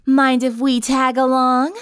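Woman doing a high, cheerfully menacing vampire. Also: flat delivery, unhurried, clean tone, no echo, clean studio sound. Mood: playful menace. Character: cheerful, menacing female vampire hungry for a snack.

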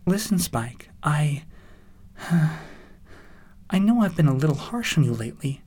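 Man putting on a gentle high-pitched female pony. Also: sing-song, a little slow, breathy edge, exaggerated voice. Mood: apologetic, gentle. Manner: soft, soothing, motherly concern.

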